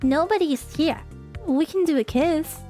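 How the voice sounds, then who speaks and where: A woman voicing an earnest, pushy, lovestruck foreign high school girl from a visual novel, with stilted, broken phrasing. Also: measured pace, mellow, soft background music. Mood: earnest pleading.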